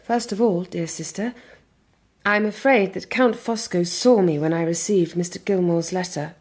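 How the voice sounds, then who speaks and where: An actress with an English accent playing a resolute, protective Victorian gentlewoman in a radio drama, speaking earnestly and formally. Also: fairly low pitch, normal speed, lightly acted. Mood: earnest, conspiratorial. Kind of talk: radio drama acting with first-person narration.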